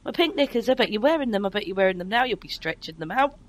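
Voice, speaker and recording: A woman's voice: mid-range voice, lively intonation, racing, pitched below the performer's own voice, natural voice. A woman voicing a fussy, nagging middle-aged mother who scolds and accuses her daughter over a Skype call. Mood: scolding.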